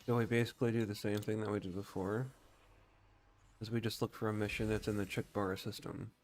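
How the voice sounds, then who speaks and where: A man with a fairly low voice streams a game in a casual, offhand way. He chats to viewers and gives short commands, with clear engine-like noise behind him. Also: level tone, fast, a little mellow. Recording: noisy, engine rumble behind.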